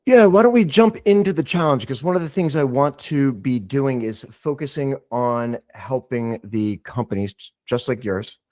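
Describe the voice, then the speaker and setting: A man's voice: mid-range voice, normal speed, hardly any pauses, dark tone, no echo. Session host, male, easygoing guiding delivery over a video call. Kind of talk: casual business video call.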